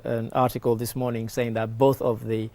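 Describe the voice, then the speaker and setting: A man's voice: mid-range voice, little pitch movement, fast, darkish tone, dry recording. A man talking conversationally as a morning show panelist, explaining current affairs and giving his opinions.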